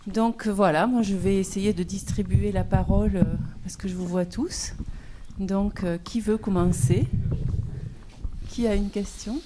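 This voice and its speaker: A woman moderates a public meeting in a hall and keeps things moving briskly. Her voice sits in a middle register, and clear background noise runs under the recording. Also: medium pitch. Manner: brisk, organizing, addresses the room.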